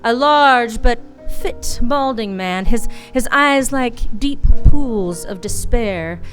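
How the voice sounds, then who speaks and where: A wry, tough female private eye played by a woman in a noir radio drama. The voice sits on the high side, halting and hard-boiled, with music underneath. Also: animated, a bit bright. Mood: grave. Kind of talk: noir radio play acting, first-person narration. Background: music behind the voice.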